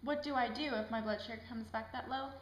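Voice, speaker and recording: A woman's voice: on the high side, fast talker, lightly acted. A woman playing a polite, curious woman who presents and asks questions in a scripted health education skit. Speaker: presenter and patient in a health education puppet show. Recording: roomy echo with faint background noise.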